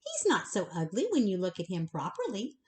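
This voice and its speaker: A woman's voice: medium pitch, huge pitch swings, brisk. A woman voicing a patient, protective mother duck in a storybook, motherly, emphatic and plain-spoken. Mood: proud, encouraging.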